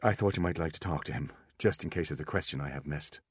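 A man's voice: rumbling low, quick pace, slightly rough, no echo, clean studio sound. A man voicing a desperate, earnest father in an audiobook, shaken and urgently appealing to his son. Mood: distracted, anxious.

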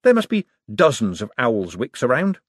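Man playing a smooth-talking rogue banker, persuasive, swooping delivery, husky. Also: medium pitch, huge pitch swings, normal speed, dry recording, clean studio sound, pitched above the performer's own voice. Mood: glib. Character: glib, fast-thinking male con man turned banker.

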